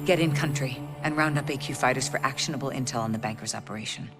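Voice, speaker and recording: A woman's voice: very deep voice, fast, a bit bright, soft background music. A woman playing a stern, authoritative intelligence officer, giving clipped, businesslike briefings to troops in a video game. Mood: grave, determined. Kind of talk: scripted mission briefing. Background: dramatic game score.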